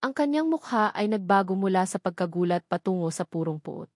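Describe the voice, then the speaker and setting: A woman narrates a scripted love drama as its storyteller. Her voice sits at a middle pitch, and the recording is dry, with hardly any room sound. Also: expressive, brisk, darkish tone, no room sound.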